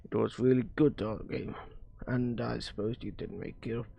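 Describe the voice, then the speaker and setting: A man streaming a visual novel, reading its dialogue aloud in a halting way with stumbles and rereads. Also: mid-range voice, fairly even intonation, creaky, breathy edge, lo-fi sound.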